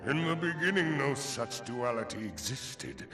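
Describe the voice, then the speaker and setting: A man's voice: mid-range voice, very animated, measured pace. A man playing an ancient, stern thunder god in a video game cutscene, speaking in solemn archaic oratory with an otherworldly reverb. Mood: grave, accusing. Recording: echoing, distant voice under music.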